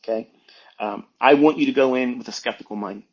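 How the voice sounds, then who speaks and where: Blunt man lecturing in a husky voice. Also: medium pitch, a little fast, highs cut off. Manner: emphatic, blunt, admonishing, repeats phrases for stress. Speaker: trading educator hosting an online audio talk. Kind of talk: informal unscripted monologue, lecturing.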